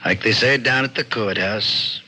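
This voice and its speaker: A man's voice: lowish voice, measured pace, sharp tone, breathy edge, heavily acted. An actor playing a coolly mocking, streetwise gangster boss in 1940s radio drama style, with taunting, slangy tough-guy talk. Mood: mock regret. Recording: noisy old-time radio recording.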